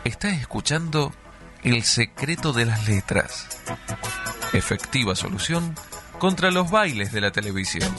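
A man's voice: mid-range voice, animated, measured pace. A man reading a scripted radio show ident as an announcer. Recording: music bed behind. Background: prominent music.

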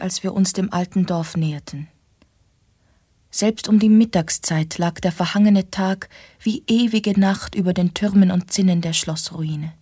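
A woman plays a young gothic heroine recounting her memories. The delivery is measured, hushed and breathy, tinged with melancholy unease. The sound is close and dry, as radio drama narration. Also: medium pitch, no room sound, close to an everyday voice. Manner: atmospheric, measured storytelling. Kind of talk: scripted first-person radio drama narration. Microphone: close, dry studio.

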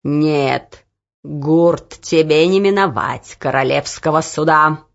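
A woman voicing a cruel male overseer with a whip, growling out slow threats. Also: low-pitched, pitched below the performer's own voice, exaggerated voice. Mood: sneering. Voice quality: growling, gruff. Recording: dull lo-fi recording.

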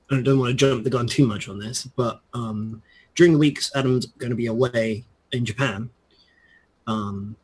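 A man with a husky voice plugs his plans on a stream. He is hesitant and casual, stopping often on "um" and "uh". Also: mid-range voice, darkish tone, low-quality recording. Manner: hesitant, many fillers. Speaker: regular player. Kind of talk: casual chat.

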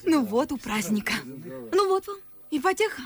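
An actress playing a lively young maidservant in a classic verse radio drama, outspoken, folksy and anxiously exclamatory. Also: female voice, high-pitched, moderate pace. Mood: alarmed, reproachful. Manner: exclamatory, fretful.

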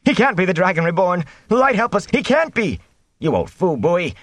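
A man's voice: high-pitched, sing-song, normal speed, a bit bright, no echo, voice pushed up, heavily acted. A man voicing frightened, quarrelling villagers who cry out accusingly, in a fantasy audiobook. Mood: panicked, accusing. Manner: crying out, accusing, fearful. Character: alarmed, quarrelling villagers in a panicked crowd.

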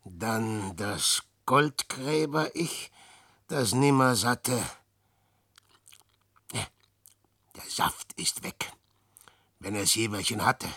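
Old washed-up adventurer muttering verse in an old radio drama, gravelly, super slow, dry close sound, brooding and self-mocking. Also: male voice, medium pitch, expressive, sharp tone, no echo, exaggerated voice. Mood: wry, musing. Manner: brooding, theatrical, muttering to himself. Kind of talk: verse monologue in 1960s German radio drama.

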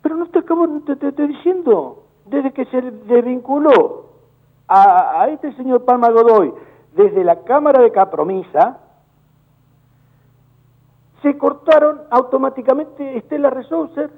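An Argentine man, a business chamber director, speaking indignantly on a phone call and repeating words in rapid bursts. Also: very high-pitched, lively intonation. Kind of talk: heated phone-in interview. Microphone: telephone. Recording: tinny phone line.

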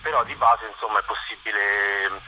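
A man talks as a guest on a radio programme. His voice is lowish yet very bright and sharp, and he hesitates between phrases. It comes over a slightly noisy phone line. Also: steady tone, fairly quick. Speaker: interview guest, exhibition photographer. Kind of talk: casual radio interview.

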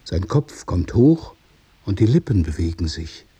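A man narrating a literary radio play in measured, observational short phrases. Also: deep voice, very animated, mellow, husky, full character voice.

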